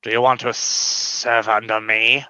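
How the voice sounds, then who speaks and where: An actor playing a proud fanged alien scientist in a comedy radio play, speaking in formal, deliberate phrases. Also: male voice, medium pitch, level tone, sharp tone, clean tone, no room sound, poor audio. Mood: hopeful.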